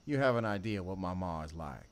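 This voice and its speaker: A man playing a sardonic, wounded ex-convict with a soft heart in an emotional audio drama. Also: low voice, lively intonation, a little fast. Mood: earnest, confessional.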